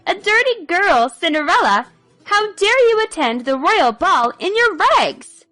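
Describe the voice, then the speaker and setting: A haughty, mean fairy-tale stepmother or stepsister, acted out by a woman storyteller, scolds with scorn. Her voice is high and swoops sharply up and down. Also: very animated, unhurried, sharp tone, no room sound, faint music behind, full character voice. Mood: mocking.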